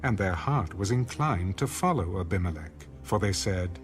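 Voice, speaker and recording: A man with a fairly low voice narrates a Bible story in measured, formal phrases. Music plays clearly beneath the scripted reading. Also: expressive.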